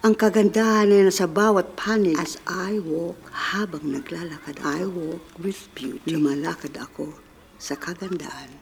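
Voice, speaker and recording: A woman reading her own autobiographical poem and text in a slow, meditative way. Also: lowish voice, steady tone, a little hiss or hum. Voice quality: gravelly, husky.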